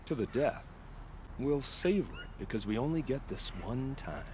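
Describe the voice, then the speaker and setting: A man's voice: mid-range voice, very animated, moderate pace, darkish tone, a little hiss or hum, lightly acted. An actor playing a suave, bloodthirsty hunter in a video game, poetic and dramatic, savoring his phrases. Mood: eager, seductive. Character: suave, bloodthirsty male hunter, wistful and theatrical. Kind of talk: video game cutscene acting.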